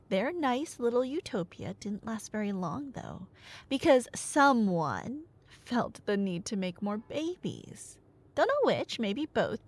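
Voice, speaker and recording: A woman's voice: fairly high pitch, exaggerated voice. A woman playing a nerdy, teasing girlfriend in an ASMR roleplay, rambling through Greek myths with dramatic narration and casual sarcastic asides. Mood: wry, casual. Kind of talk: ASMR roleplay storytelling to a listener.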